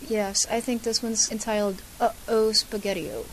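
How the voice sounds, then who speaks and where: A woman's voice: mid-range voice, flat delivery, normal speed, a bit bright, poor audio. A woman co-host casually introducing a reading. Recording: hissy amateur home recording.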